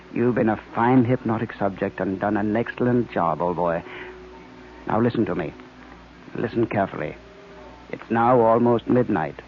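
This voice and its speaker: Sinister male mastermind, gloating and silky, vintage radio drama, narrow noisy recording. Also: fairly low pitch. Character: smug, sinister criminal mastermind hypnotist, a man. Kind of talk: 1940s radio drama acting.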